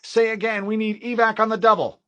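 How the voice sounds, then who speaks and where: A man's voice: sits high, fairly even intonation, fairly quick, sharp tone, dull audio, pitched above the performer's own voice. A man reading an audiobook, voicing a tense young male marine corporal who speaks in an urgent, strained way.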